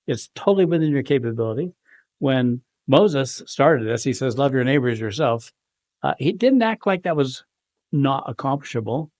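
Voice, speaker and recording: A man's voice: mid-range voice, a little mellow, dry recording. A man speaking earnestly on a devotional panel, quoting scripture with growing emphasis. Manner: earnest, emphatic, quotes scripture with rising fervor. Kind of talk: informal devotional talk.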